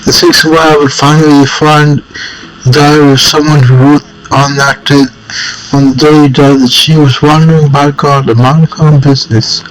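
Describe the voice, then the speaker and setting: A man's voice: a little high, a little hiss or hum, poor audio. Amused man reading a text game aloud. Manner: amused, clears throat often, stumbles and rereads.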